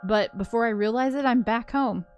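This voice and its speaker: A woman's voice: a little high, normal speed, music in the background. A woman reading a visual novel aloud, slipping into casual, chatty commentary with giggly asides. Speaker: co-host of a gaming show.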